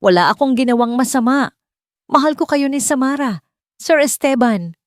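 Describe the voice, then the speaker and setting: A desperate young nanny from an audio love drama, acted out by a woman narrator. She pleads urgently in a raised, high voice that threatens to crack. The sound is close and dry. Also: animated, normal speed, no room sound. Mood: frantic pleading.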